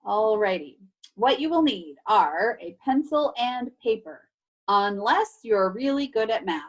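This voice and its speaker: Female teacher, slightly high voice, very unhurried delivery; expressive storybook read-aloud with playful emphasis and chatty asides to pupils. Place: remote video class.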